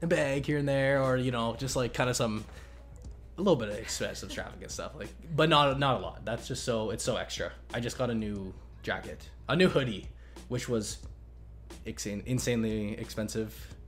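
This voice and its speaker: A man from Canada chats through viewer questions in a loose, rambling way, full of "like" and "you know". His voice sits at an ordinary middle pitch. Light music plays faintly underneath. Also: moderate pace. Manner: relaxed, rambling, many fillers and restarts. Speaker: investing channel host. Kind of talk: casual Q&A chat.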